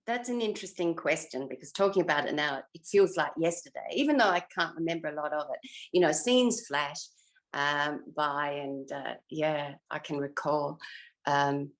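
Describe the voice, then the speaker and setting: Woman, sixties-plus, slightly low register. Retired actress interviewee, reflective and conversational, musing as she goes. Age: 60s or older. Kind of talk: reflective casual interview chat.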